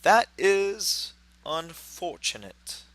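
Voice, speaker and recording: A man's voice: high-pitched, sing-song, drawn-out words, fairly bright tone, a little hiss or hum, heavily acted. A man playing a pompous, blithely jovial mad doctor in a noir radio drama. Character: eccentric, oblivious male mad doctor hosting a dinner, blithely jovial.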